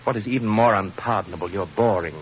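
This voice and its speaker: An actor playing a pompous, contemptuous English aristocrat in a 1940s radio drama. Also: male voice, on the low side, quick pace, close to an everyday voice. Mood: haughty disdain. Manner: pompous, disdainful, drawling insults. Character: haughty, insulting English aristocrat, fussy and contemptuous. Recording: muffled, hissy vintage radio recording.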